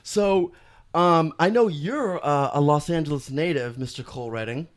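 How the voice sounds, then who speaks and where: A man hosting a podcast in a studio, loud and brash, chatting casually with guests and calling them bro. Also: on the high side, moderate pace. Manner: loud, brash, swearing, calls people bro. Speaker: podcast host. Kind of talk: casual chat. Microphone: headset.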